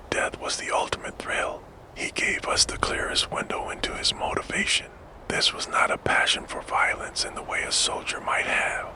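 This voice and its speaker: Man whispering solemn true-crime narration. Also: low-quality recording. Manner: fully whispered, soft and hushed; solemn, measured delivery.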